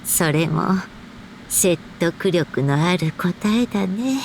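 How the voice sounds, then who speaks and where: Wistful, reflective storybook author. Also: female voice, fairly low pitch, lively intonation, unhurried, creaky, darkish tone, a little hiss or hum. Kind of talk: Japanese game story voice acting.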